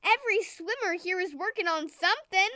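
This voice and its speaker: Woman as a friendly, high-voiced storybook eel. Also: animated, fairly quick, pushed and bright, dry recording, clean studio sound, exaggerated voice. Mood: reassuring. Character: cheerful, friendly, reassuring eel swimmer.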